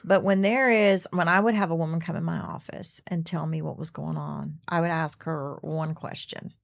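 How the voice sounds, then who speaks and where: Earnest middle-aged woman, low-pitched. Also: steady tone, moderate pace, creaky. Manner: earnest, restarts often, acts out quoted speech. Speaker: co-host of a faith radio show. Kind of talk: casual faith discussion.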